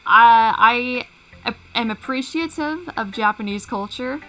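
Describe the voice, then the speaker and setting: A woman's voice: a little high, a little slow, pushed and bright, soft background music, rough recording. A woman talking casually as a guest in an informal group conversation on a livestream. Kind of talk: casual chat.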